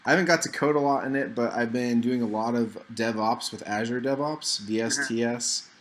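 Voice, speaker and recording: A man's voice: mid-range voice, moderate pace, a little hiss or hum. A man hosting his developer channel and chatting casually on a video call, loosely and a bit rambling. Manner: upbeat intro, then relaxed and rambling. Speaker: YouTube host and developer.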